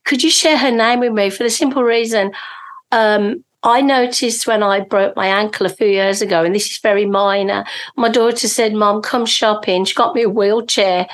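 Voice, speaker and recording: A middle-aged woman hosting a casual podcast interview, with a slightly hoarse edge to her voice. She is enthusiastic and chatty, with plenty of ums and repeated words. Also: mid-range voice, steady tone, moderate pace. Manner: enthusiastic, chatty, many fillers. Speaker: podcast host.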